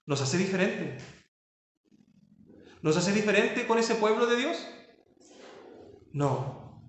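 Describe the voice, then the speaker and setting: Male pastor, high voice, emphatic sermon delivery, heavy room echo. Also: lively intonation, takes it slowly. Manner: preaching with rising emphasis and rhetorical questions.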